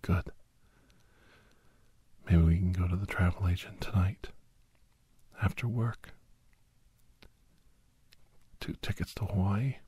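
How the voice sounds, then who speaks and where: A weary, wry doctor acted by a man recounts his adventures in an ASMR story. His voice is very husky and breathy, often sinking into a whisper, low and soft-edged. The delivery is deadpan and intimate, recorded close and dry. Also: takes it slowly, pauses often, dry recording, full character voice. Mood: tired, playful. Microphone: close, dry microphone.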